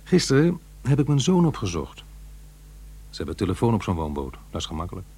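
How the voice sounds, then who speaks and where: An actor playing a troubled, reproachful divorced father in middle age, halting and earnest, in a Dutch radio play. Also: deep voice, wildly swooping pitch, dark tone, breathy edge, heavily acted. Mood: brooding, confiding. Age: middle-aged. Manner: halting, earnest, self-questioning.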